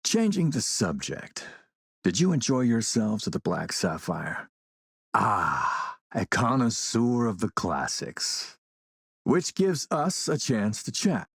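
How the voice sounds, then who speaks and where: A man playing a suave video game warlord, coolly polite and mocking, with a measured, sardonic menace. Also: fairly low pitch, big pitch swings, creaky, slightly rough, dry recording, studio quality, exaggerated voice. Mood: suave, needling. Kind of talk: video game villain acting.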